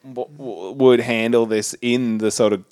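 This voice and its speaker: A man's voice: mid-range voice, fairly even intonation, unhurried, a little mellow, no room sound. A man hosting a podcast in casual, conversational chat. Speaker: podcast host.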